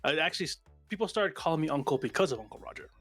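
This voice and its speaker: A man's voice: fairly high pitch, animated, racing, fairly bright tone, dry recording, soft background music. Laughing young man on a dry call mic. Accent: Vietnamese American English. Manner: laughs often, self-deprecating storyteller. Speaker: VTuber panel guest. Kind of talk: casual panel chat.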